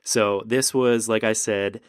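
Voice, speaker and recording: A man hosting a podcast, talking in a relaxed, casual way with frequent laughs and filler words. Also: on the low side, takes it slowly, smooth, dry recording, clean studio sound. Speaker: podcast host. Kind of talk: casual interview chat. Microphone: remote call recording.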